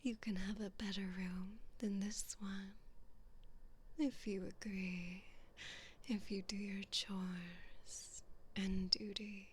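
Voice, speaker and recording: Woman whispering as a slow, haughty evil queen. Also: mid-range voice, level tone. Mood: teasing, seductive. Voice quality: breathy whisper. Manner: slow, drawn-out, condescending, seductive. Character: haughty, teasing evil queen toying with her prisoner. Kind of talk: whispered ASMR roleplay.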